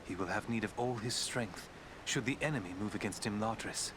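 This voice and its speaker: An actor playing a noble woodland elf prince in a fantasy game, speaking in a formal, measured, solemn manner. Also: male voice, fairly low pitch, close to an everyday voice. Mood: resolute, solemn. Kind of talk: fantasy video game character acting. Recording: clear background ambient noise.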